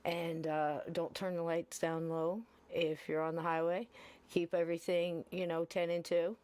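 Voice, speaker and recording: A woman hosting a podcast live stream, chatting in a laid-back, easygoing way and keeping things low and mellow. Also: flat delivery, a little slow, clear, resonant tone. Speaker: podcast host. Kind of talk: casual live-stream chat.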